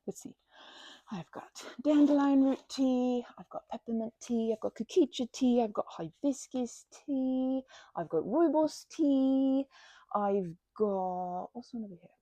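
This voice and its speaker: A woman's voice: a little high, measured pace, warm tone, dry recording. A woman performing a casual best-friend ASMR roleplay, chatting in a playful, scatterbrained way and rambling as she goes.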